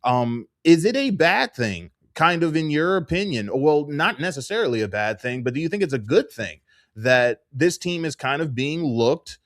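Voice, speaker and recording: A man's voice: medium pitch, no room sound. Sports interviewer, man, dry close sound. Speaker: interviewer, sports show host.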